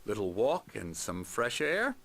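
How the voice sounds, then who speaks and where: An actor playing a middle-aged psychiatrist in a video game cutscene, measured, condescending and outwardly reassuring. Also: male voice, on the low side, huge pitch swings, fairly bright tone, heavily acted. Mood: soothing, patronising. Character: smooth, condescending male psychiatrist running a clinic.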